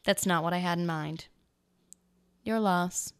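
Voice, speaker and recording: A sultry noir thief, acted by a woman, with a lowish voice. She is playful, flirtatious and teasing, in radio-drama style. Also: unhurried, clear, resonant tone.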